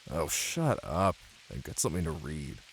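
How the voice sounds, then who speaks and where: Man as a low-voiced, indifferent husband. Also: wildly swooping pitch, moderate pace, gravelly, slight background noise, heavily acted, low-quality recording. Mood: dismissive. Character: distracted, indifferent husband reading in bed.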